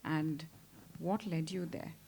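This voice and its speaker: Low-voiced woman, panel moderator; soft, admiring questions, faint hiss. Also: slow pace. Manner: gentle, admiring questions with hesitant starts. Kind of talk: panel discussion.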